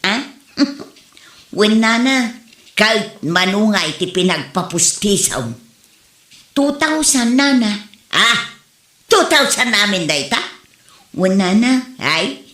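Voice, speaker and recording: Woman acting a bright, loud Ilocano village wife. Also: medium pitch, big pitch swings, slow pace, pauses often. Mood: gossipy, teasing. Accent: Ilocano Filipino. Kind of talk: Ilocano comedy drama acting. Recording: roomy echo, music behind.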